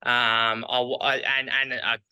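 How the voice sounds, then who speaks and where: A man's voice: mid-range voice, level tone, a little slow, pushed and bright, clean tone. A man with an Australian accent, chatting casually as an athlete and coach being interviewed.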